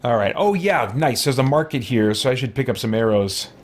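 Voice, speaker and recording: A man hosts a casual video game playthrough in a lively, varied voice at a middling pitch. He thinks aloud as he goes, dropping muttered asides and small exclamations. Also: fairly quick. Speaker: gaming video host. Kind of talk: casual let's play commentary.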